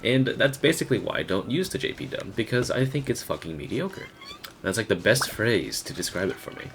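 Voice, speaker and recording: A man's voice: lowish voice, sing-song, brisk. A young man giving a casual, blunt, rambling, profane rant as a gaming video creator. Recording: faint background noise.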